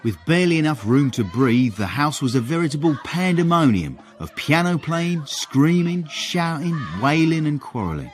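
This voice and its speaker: An actor playing a cheeky manservant who narrates a period radio comedy in a wry, florid, relishing way, with literary airs. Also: male voice, fairly high pitch, very animated, moderate pace, few pauses, faint music behind. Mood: florid, exasperated.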